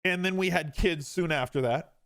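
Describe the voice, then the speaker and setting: A man's voice: fairly high pitch, normal speed, fairly bright tone, smooth, dry recording. Dry-voiced man in his forties, storytelling. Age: 40s. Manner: conversational, self-deprecating, emphatic repetition. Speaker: podcast host. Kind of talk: casual storytelling monologue.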